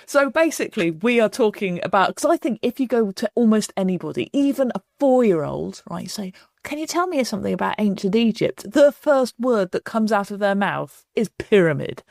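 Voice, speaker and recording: A woman's voice: mid-range voice, very animated, moderate pace, gravelly, darkish tone, no echo, clean studio sound. A woman, an author and broadcaster, hosting a podcast with jokey, playful banter. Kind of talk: casual podcast chat.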